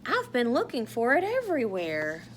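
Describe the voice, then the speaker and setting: Woman acting storybook Santa, kindly old man, fretful, expressive, raised pitch, noisy recording. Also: moderate pace. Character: Santa, kindly old man fretting over lost hat.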